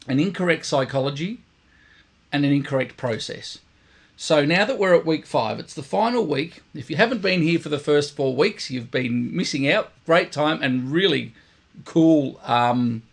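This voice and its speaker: A man, a brass teacher giving blunt, emphatic instruction to camera, his voice croaky and tired today. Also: medium pitch. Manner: emphatic, blunt, repeats points for stress. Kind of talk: direct instructional talk to camera.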